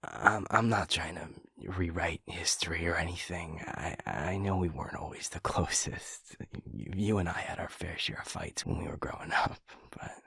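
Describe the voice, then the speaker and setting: A man playing a husky, deep-voiced, earnest young man. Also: bright, forceful tone, no room sound, heavily acted. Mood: tender, sincere. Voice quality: husky, breathy, low murmur. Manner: halting, hesitant, stammering. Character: earnest, vulnerable young man, loyal pack second-in-command. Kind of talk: intimate audio roleplay drama.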